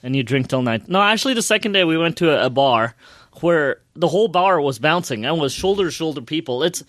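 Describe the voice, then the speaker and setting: A man's voice: a little high, animated, brisk, fairly bright tone, no echo. A man co-hosting a podcast, telling stories casually with emphatic, repetitive phrasing. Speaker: podcast co-host. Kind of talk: casual storytelling.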